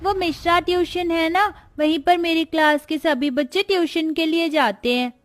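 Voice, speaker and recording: A woman's voice: very high voice, steady tone, normal speed, faint music behind. A woman voicing a dutiful, sensitive young schoolgirl in a cartoon moral story, earnest and meek.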